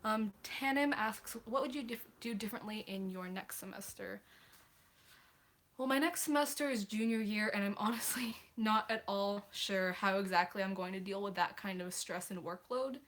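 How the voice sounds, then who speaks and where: A woman's voice: medium pitch, normal speed, fairly bright tone, slight background noise. A teenage girl hosting a casual livestream, answering viewer questions in a chatty, apologetic way with many hesitations. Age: teens.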